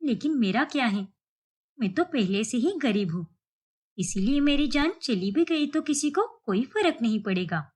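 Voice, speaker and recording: High-pitched, earnest young daughter-in-law, acted by a woman. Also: expressive, moderate pace, a little mellow, breathy edge. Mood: resigned, earnest. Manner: earnest, pleading, selfless. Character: self-sacrificing, gentle young daughter-in-law. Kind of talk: cartoon melodrama acting.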